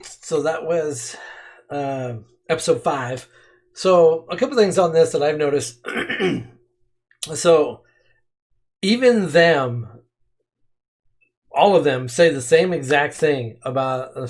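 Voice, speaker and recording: Enthusiastic man reacting to a video. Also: on the high side. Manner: enthusiastic, repeats words for emphasis. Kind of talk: casual commentary.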